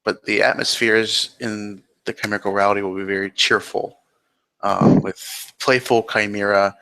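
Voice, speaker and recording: Creaky, bright-voiced man as game master. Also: fairly low pitch, steady tone, moderate pace, poor audio. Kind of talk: improvised tabletop roleplay narration.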